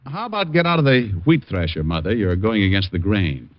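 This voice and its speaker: Wisecracking smooth private eye. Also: male voice, fairly low pitch, sing-song, brisk. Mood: breezy, teasing. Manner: wry, breezy, teasing. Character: wisecracking, flirtatious private detective, smooth and cool. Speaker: lead actor in a radio detective series. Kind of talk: old-time radio drama acting. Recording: dull vintage radio recording.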